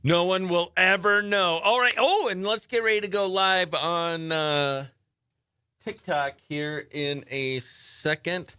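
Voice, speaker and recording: A man hosting a morning radio show with casual live chat, talking in an excitable, slangy, exclamatory way. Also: high voice, slow, clean tone, no room sound, clean studio sound. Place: dry radio studio.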